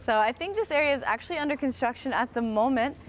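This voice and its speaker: A cheerful American woman with a fairly high voice chats her way through a live walking stream outdoors. She thinks aloud, fills her sentences with "um" and "like", and keeps talking straight to her viewers. Street noise sits faintly behind her. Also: lively intonation, fast talker. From United States. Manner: chatty and upbeat, thinks aloud with frequent fillers. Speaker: host. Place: outdoors, walking around a historic site.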